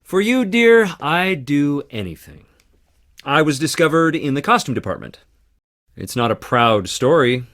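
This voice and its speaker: An actor playing a charming, theatrical theater performer with dramatic flair in a video game. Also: male voice, fairly high pitch, big pitch swings, unhurried, fairly bright tone, exaggerated voice. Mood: guarded, conversational. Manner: theatrical, dramatic, swinging between playful and intense. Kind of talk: video game character dialogue.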